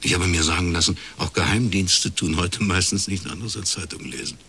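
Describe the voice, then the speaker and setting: An actor playing a shrewd, dry-witted private detective in a radio crime drama, laconic and deadpan. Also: male voice, sits low, a little fast, slight background noise. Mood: dry, knowing. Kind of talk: naturalistic German radio crime drama acting.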